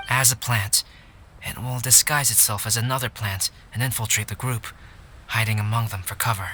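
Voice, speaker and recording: An actor playing a calm, analytical young man in a video game cutscene, speaking in a measured, articulate and formal way. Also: on the low side, slight background noise.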